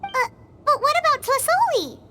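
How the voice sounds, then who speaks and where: A woman voicing a tiny, childlike fairy companion in a video game, chirpy and loyal, with a squeaky voice. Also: sing-song, normal speed, heavy background noise. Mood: awed, relieved. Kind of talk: video game character acting. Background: music.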